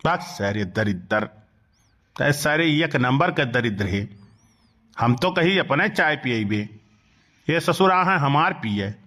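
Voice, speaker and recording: A man's voice: mid-range voice, animated, slow, pauses often, bright, forceful tone, heavily acted. A man with an Awadhi accent voicing a put-upon, grumbling village husband in a comic cartoon, drawling and exclaiming in rural dialect. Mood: irritated.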